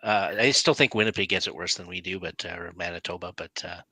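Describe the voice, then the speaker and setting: A man's voice: low-pitched, fast, sharp tone, slightly rough, no echo. A Canadian man hosting an interview, asking easygoing questions. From Calgary, Canada. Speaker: host. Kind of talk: casual interview chat.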